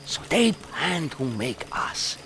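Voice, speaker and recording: An actor playing a bitter, menacing enemy soldier interrogator in a video game, speaking halting, deliberate broken English. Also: male voice, on the high side, big pitch swings, sharp tone, slight background noise, full character voice. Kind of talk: video game cutscene acting.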